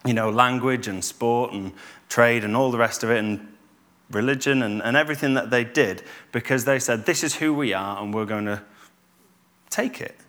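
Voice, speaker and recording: Calm man giving a church talk. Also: medium pitch, normal speed. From Yorkshire, England. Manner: calm, conversational with fillers and restarts. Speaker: church speaker.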